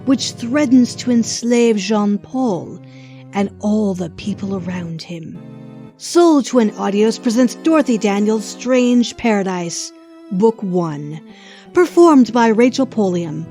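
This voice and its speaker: Woman, dark mellow tone, medium pitch, slow ominous narration, scripted promo, faint music. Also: animated. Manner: ominous, measured. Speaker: trailer narrator.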